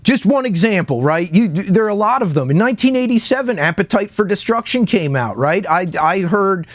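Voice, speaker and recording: A man's voice: high-pitched, expressive, fairly quick, dry recording, studio quality. A man giving casual, unscripted commentary as a video host, conversational and often repeating words as he searches for the next one.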